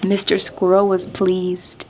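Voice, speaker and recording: A woman storytime host reading aloud expressively to small children, phrasing clearly and asking them questions. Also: on the low side, monotone, slow, a little hiss or hum, poor audio.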